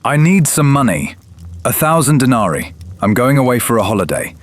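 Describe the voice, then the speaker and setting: An actor playing a cynical, cruel, scheming Roman patrician in an audio drama, with a mocking, theatrical delivery. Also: male voice, mid-range voice, big pitch swings, normal speed, lightly acted. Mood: demanding.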